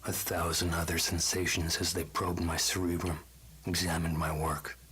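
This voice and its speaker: An actor playing a cold, tormented, vengeful male scientist in a video game audio log, speaking slowly and deliberately with a hushed, breathy menace. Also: sits low, little pitch movement, exaggerated voice. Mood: brooding, tormented. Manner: slow, deliberate, clinical, brooding menace.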